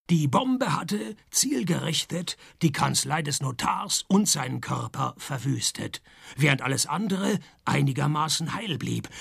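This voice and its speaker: An actor playing a sardonic, hard-drinking male private detective in a radio play, deadpan in wit. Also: a little high, gravelly, husky, dry recording, studio quality, full character voice. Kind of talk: scripted noir parody narration and dialogue.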